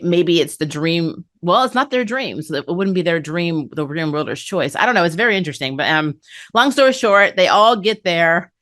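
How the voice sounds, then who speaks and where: A woman with a slightly low-pitched voice talks through an episode recap as a review host. Her delivery is casual and chatty, like conversational commentary shared with viewers. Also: fairly bright tone. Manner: chatty, conversational, acts out characters' lines. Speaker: anime review channel host.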